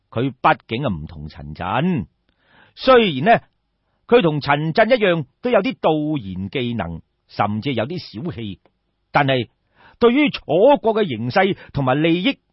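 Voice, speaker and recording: Animated Cantonese male storyteller, echoey sound. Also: fairly high pitch, husky. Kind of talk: dramatic traditional audiobook storytelling. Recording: echoey room, slightly dull sound.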